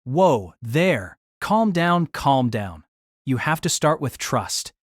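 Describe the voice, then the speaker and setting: A man's voice: medium pitch, big pitch swings, slow, no echo, clean studio sound. An actor playing a wry, exasperated young man, delivering wordy first-person story narration and dialogue in a deliberating, self-conscious way. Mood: indignant, placating.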